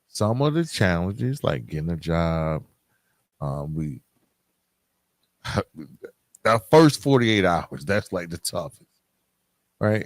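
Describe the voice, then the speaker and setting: A man hosting a podcast solo, talking informally and conversationally, thinking aloud in a halting way. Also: on the low side, no room sound. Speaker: podcast host.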